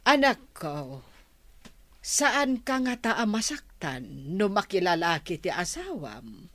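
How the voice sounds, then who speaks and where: A woman acting an older Filipino mother in a radio drama, patient, concerned and gently scolding, with a Filipino accent. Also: lowish voice, sing-song, slow pace, no echo, heavily acted. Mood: firm, probing.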